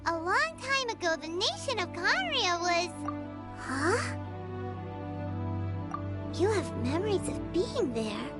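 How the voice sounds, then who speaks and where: Super high squeaky little fairy sidekick, slow-ish chatty delivery, game music playing loud. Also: female voice, heavily acted. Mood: surprised, explaining. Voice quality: squeaky, childlike. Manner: refers to self in third person, expressive. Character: small childlike fairy guide, chatty, curious, excitable. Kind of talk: video game character dialogue.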